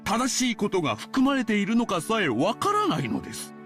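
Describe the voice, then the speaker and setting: Smug, chatty mystery fanatic for a game: highish, animated delivery, theatrical relish, music underneath. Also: male voice, racing. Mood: relishing explanation. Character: talkative, self-satisfied male mystery enthusiast explaining a case. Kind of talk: Japanese visual novel voice acting. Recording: game audio with clear music behind.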